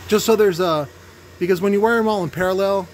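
Male workshop host, slightly high voice, halting and opinionated, off-the-cuff tech talk over faint background noise. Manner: halting, thinking aloud, opinionated. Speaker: host demonstrating speaker tests. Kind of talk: casual unscripted technical commentary. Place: indoor workshop at a cluttered bench. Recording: noisy workshop with background hum.

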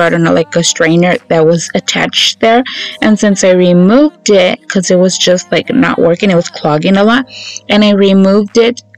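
A woman's voice: lowish voice, rough recording. A woman in her thirties giving a chatty, informal voice-over about household chores, as a home vlogger. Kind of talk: casual voice-over narration of chores. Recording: music playing behind the voice. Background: background music.

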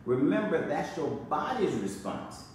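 A middle-aged man, a doctor, lecturing to a phone camera in an urgent care clinic, speaking slowly and repeating key phrases for emphasis. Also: on the high side, big pitch swings, a little hiss or hum. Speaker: doctor giving a health lecture. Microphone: phone camera at a short distance. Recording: echoey clinic room.

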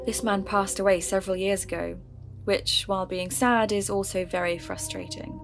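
A woman narrating her investigation of a local mystery in an earnest, measured way, in a scripted audio drama. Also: mid-range voice.

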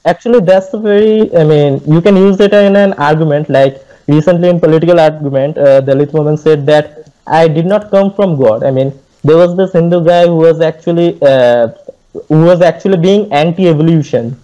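A young man from West Bengal joins over a dull, lo-fi video call, his voice warm and mellow yet a little high. He explains things with examples and quick asides in a casual livestream chat. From West Bengal, India. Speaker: guest. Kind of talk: casual live-stream discussion. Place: room indoors.

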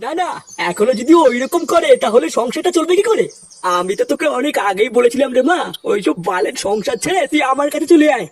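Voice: sits high, expressive, quick pace, far from the mic, slight background noise. A performer voicing an overbearing older father-in-law who lectures reproachfully in a comedy cartoon.

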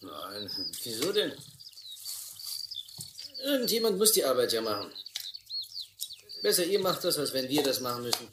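A man plays a lazy, easygoing railway hobo in a radio drama. He is wry and casual, with lively pitch. The sound is echoey with clear noise. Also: normal speed, long pauses, natural voice. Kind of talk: German radio play acting. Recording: echoey, noisy, birdsong behind.

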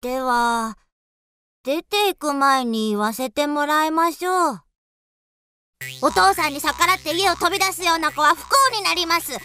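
A woman's voice: high-pitched, normal speed, bright, forceful tone. A woman voicing a polite, lecturing talking cricket in a radio drama. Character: preachy old talking cricket.

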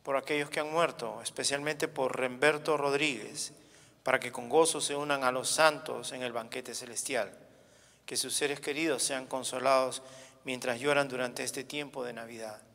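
Bright-voiced man reading prayers in church. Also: medium pitch, steady tone, moderate pace, vocal fry. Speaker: reader of prayer petitions at Mass. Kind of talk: formal read-aloud prayer. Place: large church, indoors. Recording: reverberant church hall.

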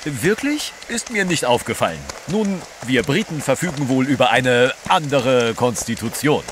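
An actor playing a stuffy, proper British reporter in an audio drama, speaking in a fussy, pompous way. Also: male voice, mid-range voice, animated, moderate pace, close to an everyday voice. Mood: stuffy, indignant. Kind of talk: German radio play acting. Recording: noisy outdoor rain backdrop.

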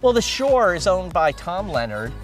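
Animated man, outdoor site interview. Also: sits high, moderate pace, faint music behind, poor audio. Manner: animated, talks with hands. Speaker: local newspaper publisher. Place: outdoors at a waterfront construction site.

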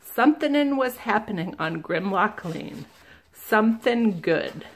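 A woman, a children's librarian, reading a picture book aloud slowly and gently, stopping to ask the listeners questions. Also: medium pitch, animated, a little hiss or hum. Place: indoors at a table, camera above the book.

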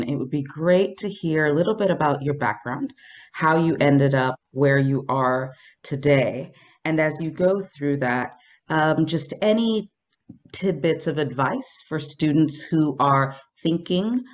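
A woman professor moderating a conference interview over a video call, clear and organized as she introduces the guest and panel. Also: rumbling low, lo-fi sound. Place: room with a colorful painting behind. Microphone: video call, earphones.

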